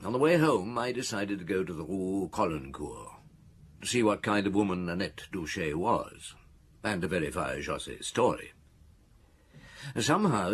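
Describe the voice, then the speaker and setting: A man playing a world-weary middle-aged chief inspector in an audio drama, with a husky voice and a dry, measured, probing manner. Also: sits low, full character voice. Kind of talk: radio drama acting, dialogue and reminiscence.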